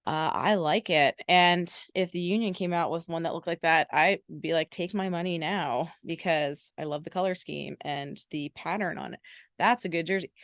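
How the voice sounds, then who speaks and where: A woman's voice: lowish voice, moderate pace, dry recording, clean studio sound. A young woman co-hosting a sports podcast, chatty and enthusiastic with playful teasing. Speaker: podcast co-host. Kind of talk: casual sports podcast chat.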